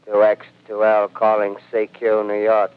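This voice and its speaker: A man's voice: fairly low pitch, monotone, unhurried, smooth, natural voice. An actor playing a lone male radio operator in a 1930s radio drama, calling out clipped, repeated call signs. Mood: desperate. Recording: tinny phone-like 1930s radio line.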